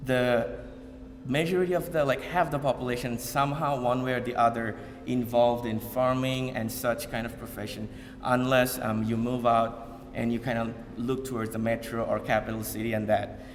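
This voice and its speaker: A man from Bangladesh talks to an audience in a loose, unscripted way. He stops and restarts often and leans on fillers. His voice sits at a middle pitch and carries a long hall reverb. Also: fairly even intonation. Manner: halting, many fillers and repeated starts. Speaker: community speaker. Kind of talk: informal public talk to an audience. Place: event hall.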